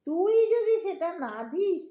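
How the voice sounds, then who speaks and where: A woman's voice: extremely high pitch, animated, measured pace, warm tone, roomy sound, highs cut off. A woman playing a lonely, sentimental middle-aged Bengali mother in an audio drama, with a pleading, emotional delivery and a Bengali accent. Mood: wistful, tearful.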